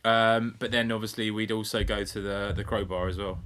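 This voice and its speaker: British male podcast co-host, bright forceful tone, casual and laid-back delivery, loose swearing. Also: on the low side, level tone, fairly quick, clean tone. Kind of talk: casual comedic podcast chat. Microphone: remote internet call recording.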